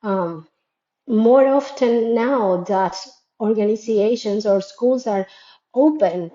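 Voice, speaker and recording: A woman's voice: fairly high pitch, slow pace, dark tone, echoey room, dull audio. A woman whose first language is Spanish, from Latin America, an educator giving a thoughtful, earnest reflective monologue that poses questions to listeners. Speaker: educator and creator of a school framework.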